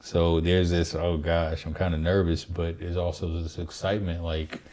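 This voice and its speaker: A man in his fifties, a father hosting a family podcast, telling a reflective story in an unhurried way with fillers. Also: deep voice, little pitch movement, a little mellow. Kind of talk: casual family podcast chat.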